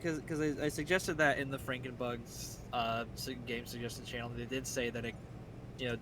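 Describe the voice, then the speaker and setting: Low-voiced American man, rambling stream chat. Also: fairly even intonation, normal speed, background noise. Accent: American, faint Midwestern. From US Midwest. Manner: rambling, self-interrupting. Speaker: game streamer. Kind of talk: casual chat during a game stream.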